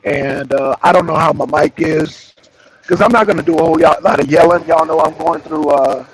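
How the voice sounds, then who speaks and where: Raspy high-voiced man streaming live. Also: fairly quick, no room sound, poor audio. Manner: rambling, repeats phrases, greets viewers by name. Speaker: streamer hosting a wrestling show. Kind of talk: casual live-stream talk to chat.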